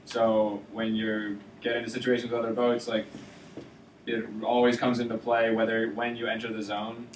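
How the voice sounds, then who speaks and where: A young man explaining sailing rules to his college team in a steady manner, with some restarts. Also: on the low side, moderate pace, slight background noise. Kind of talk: informal lecture to a student sailing team. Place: lecture classroom. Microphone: far camera in the room. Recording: roomy classroom from far off.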